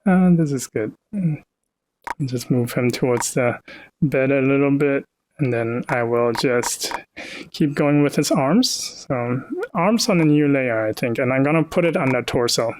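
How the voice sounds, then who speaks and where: A man's voice: mid-range voice, measured pace, a little mellow, a little hiss or hum, poor audio. A man from Hamburg with a light North German accent, chatting casually and explaining his work while he animates on a live stream. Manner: easygoing, laughs often, explains patiently. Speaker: artist hosting a live stream.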